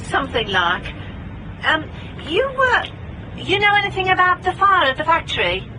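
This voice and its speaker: An actress with a British accent playing a brisk, bossy, wry private investigator in a radio drama. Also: female voice, very high voice, very animated, pushed and bright, slight background noise, heavily acted, poor audio. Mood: persistent. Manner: brisk, commanding, teasing. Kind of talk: radio drama acting with noir monologue.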